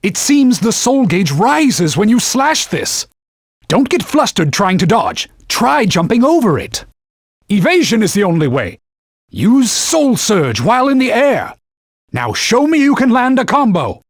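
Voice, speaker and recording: Talking sword, game mentor: stern, pompous, archaic scolding, highly animated delivery, raspy edge, very dry studio sound. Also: male voice, a little slow, no room sound, heavily acted. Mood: urgent instructing. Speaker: video game companion guide. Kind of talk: video game voice acting, short combat callouts.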